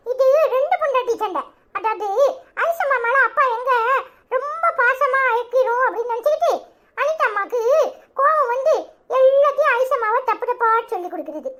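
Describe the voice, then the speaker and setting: A woman's voice: extremely high pitch, expressive, moderate pace, sharp tone, pitched above the performer's own voice, heavily acted. A woman voicing a gossipy young man who teases and laughs as he comments on a family quarrel in a comic skit. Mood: teasing. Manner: teasing, chatty, laughing asides.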